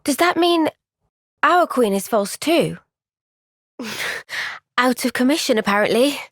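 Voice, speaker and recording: A gentle, thoughtful young woman soldier from a video game cutscene. She speaks softly and earnestly, often sighing, in a fairly high voice. The sound is close and dry. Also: animated, unhurried, no echo, heavily acted. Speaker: voice actor.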